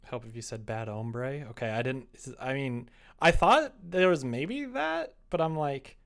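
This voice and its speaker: A man's voice: medium pitch, animated, a little slow, creaky. A young man chatting casually as he streams from his computer, muttering to himself and reading comments aloud in an offhand way. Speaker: live streamer. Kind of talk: casual live-stream chat while typing. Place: small indoor room at a computer.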